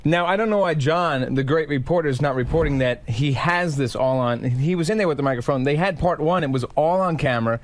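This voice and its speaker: A man explaining something on an unscripted radio show in a halting, piecemeal way. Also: fairly high pitch, no room sound.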